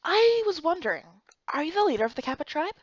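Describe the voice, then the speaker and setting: A woman voicing an earnest, excitable young heroine, reading a fantasy game story in the first person with expressive, dramatic delivery. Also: very high voice, fast talker, dry recording, dull audio, voice pushed up.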